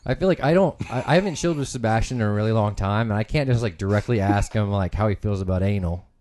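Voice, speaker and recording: A man with a low, mellow voice co-hosts a relaxed podcast. He jokes and trades puns with his friends. His delivery is laid-back banter. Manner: jokey, punning, quick banter. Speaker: podcast co-host. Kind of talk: casual crude chat.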